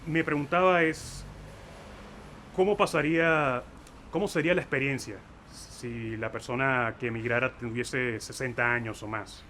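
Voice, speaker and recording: A man, telling his family's story as a documentary maker. He thinks aloud in a reflective way, pausing on fillers. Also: mid-range voice, pushed and bright, slight background noise. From Venezuela, Caracas. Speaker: filmmaker and interviewer. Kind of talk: personal documentary narration.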